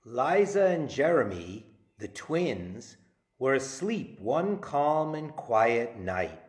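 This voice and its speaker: A middle-aged man reading aloud to children as a teacher, in a playful, deliberate, expressive way. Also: medium pitch. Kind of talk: children's story time read-aloud.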